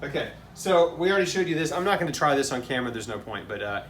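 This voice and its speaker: A man's voice: on the high side, lively intonation, fast talker. An American man hosting a casual fruit tasting on camera, talking informally and with enthusiasm. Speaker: host. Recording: echoey room, distant mic, faint noise.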